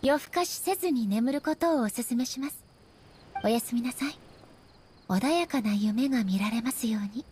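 High-voiced, gentle warrior goddess played by an actress. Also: female voice, lively intonation, normal speed, a little hiss or hum, natural voice. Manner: soft, polite, sometimes breathy. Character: gentle, polite, earnest female warrior deity. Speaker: video game voice actress. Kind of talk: Japanese game character voice acting.

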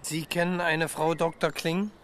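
A man's voice: a little high, little pitch movement, measured pace, a bit bright, slight background noise. Dry, eager male police detective. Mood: formal, firm. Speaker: actor in a radio crime drama. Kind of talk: naturalistic German radio play acting.

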